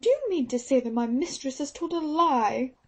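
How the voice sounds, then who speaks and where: A woman's voice: sits high, expressive, moderate pace, voice pushed up. A woman reading an audiobook, voicing a stern, taciturn Australian nurse who is fiercely protective of her mistress. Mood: indignant. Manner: indignant, protective. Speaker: audiobook reader.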